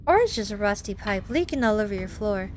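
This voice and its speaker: A woman reading a visual novel aloud as a gaming host, in an expressive, theatrical way. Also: mid-range voice, brisk. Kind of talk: playthrough reading, narrating and voicing visual novel dialogue with reactions. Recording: music behind the voice. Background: game music.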